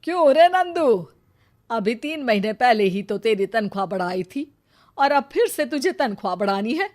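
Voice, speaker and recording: A woman's voice: on the high side, big pitch swings, moderate pace, darkish tone. A woman doing a stern, scolding mother-in-law. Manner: scolding and sarcastic, bitter asides. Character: stern, sharp-tongued older mother-in-law. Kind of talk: cartoon story voice acting.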